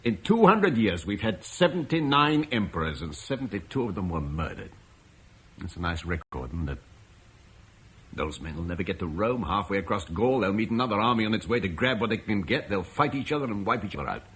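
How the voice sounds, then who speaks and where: A man's voice: lowish voice, big pitch swings, brisk, fairly bright tone, slight background noise. A grave Roman-British father. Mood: impassioned. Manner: earnest, weighty speech. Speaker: actor in radio drama. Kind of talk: 1950s British radio play acting.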